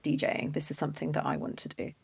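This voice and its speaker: Thoughtful, low-voiced woman host. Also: completely flat delivery, racing, vocal fry, darkish tone, breathy edge, no echo, clean studio sound. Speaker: podcast host. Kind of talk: podcast interview.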